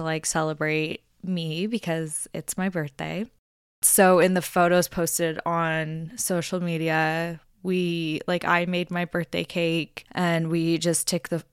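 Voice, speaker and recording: A woman's voice: on the low side, clear, resonant tone, no room sound, clean studio sound. A woman in her twenties hosting a casual solo podcast, chatty and rambling with lots of filler words. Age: 20s. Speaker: podcast host.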